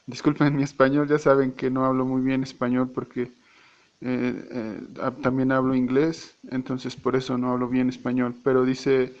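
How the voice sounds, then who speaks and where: A Mexican man leaving a long voice message who talks hesitantly, often stopping to repeat or correct himself. His pitch stays flat and level throughout. The recording sounds dull and lo-fi. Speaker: church member. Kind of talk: casual voice message.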